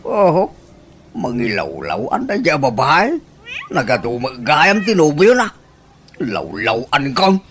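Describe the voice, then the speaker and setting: A man's voice: medium pitch, wildly swooping pitch, a little slow, long pauses, a bit bright, slight background noise. A man playing an indignant villager in an Ilocano comedy radio drama. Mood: exasperated. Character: indignant village man protesting his innocence.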